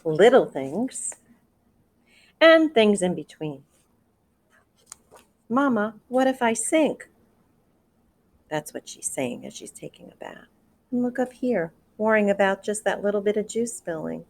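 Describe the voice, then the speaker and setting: A woman reading a picture book aloud to children, expressive, with asides and questions to her listeners. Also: medium pitch, moderate pace, creaky. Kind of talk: read-aloud storytelling with commentary for children.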